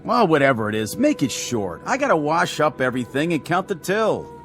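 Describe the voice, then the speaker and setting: Radio drama bartender: mid-pitched, lively intonation, tired and sensible, music behind. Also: male voice, fairly quick. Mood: weary, placating. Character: tired, sensible bartender humoring a late drunk.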